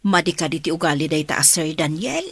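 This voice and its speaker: A woman voicing a caring middle-aged mother in a radio drama, giving gentle motherly advice. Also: sits low, animated, fairly quick, slightly rough, full character voice.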